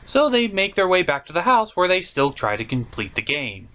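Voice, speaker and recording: A man's voice: high-pitched, very animated, normal speed, pushed and bright. A man giving a comedic, scripted movie recap in a theatrical, sarcastic way. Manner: theatrical, sarcastic delivery with mild swearing. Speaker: host of a puppet movie review show.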